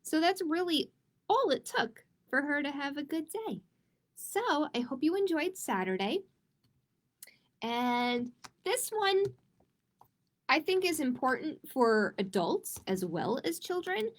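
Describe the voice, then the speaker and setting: A woman hosting a children's storytime, reading picture books expressively and speaking deliberately, straight to her young listeners. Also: high-pitched, very animated. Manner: slow, deliberate, addressing young listeners directly. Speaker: children's librarian storytime host. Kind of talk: expressive picture book read-aloud for children.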